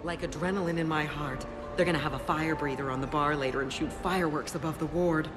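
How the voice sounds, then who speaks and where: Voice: mid-range voice, fairly even intonation, quick pace, natural voice. An actor playing a slick, chatty lawyer in a video game, breezy and candid. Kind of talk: video game cutscene dialogue. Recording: clear music behind voice.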